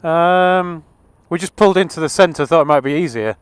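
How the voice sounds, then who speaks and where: A man's voice: a little high, normal speed, clear, resonant tone, no room sound. A man with an English accent, chatting casually and jokily over a motorbike intercom, rambling a little with dry humour. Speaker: motorcycle vlogger. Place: outdoors, largely riding a motorbike. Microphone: helmet intercom microphone. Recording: loud wind and engine noise. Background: wind, motorbike engine and road noise.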